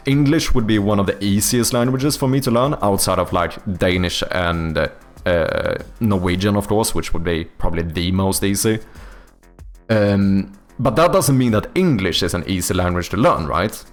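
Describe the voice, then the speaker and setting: A Swedish man, a native Swedish speaker, chatting casually on a livestream and thinking out loud, with many fillers and restarts. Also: lowish voice. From Sweden. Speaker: game developer streamer. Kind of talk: casual livestream chat answering viewers.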